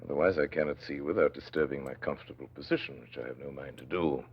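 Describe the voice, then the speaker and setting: A man's voice: extremely low pitch, quick pace, vocal fry, husky, full character voice. A man playing a stern, brusque master of a manor in a classic radio drama, imperious and mocking. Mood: imperious, probing. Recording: muffled old radio play recording.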